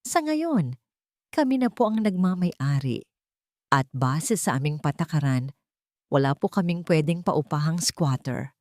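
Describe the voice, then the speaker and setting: A woman's voice: low-pitched, lively intonation, unhurried, a little mellow, no room sound, clean studio sound. Woman voicing a stern, cold corporate lawyer man. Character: hard, unfeeling male corporate lawyer evicting tenants.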